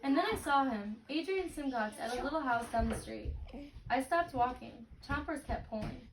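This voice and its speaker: A woman's voice: sits high, lively intonation, moderate pace. A woman reading a picture book aloud expressively to a child, as if leading a read-aloud lesson. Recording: roomy, distant mic, clicks and clear noise.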